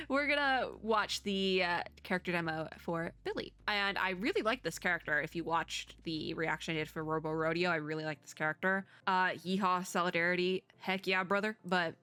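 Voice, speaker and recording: A woman doing casual reaction commentary as a VTuber, chatty, expressive and slangy. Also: fairly low pitch, normal speed, clear, resonant tone.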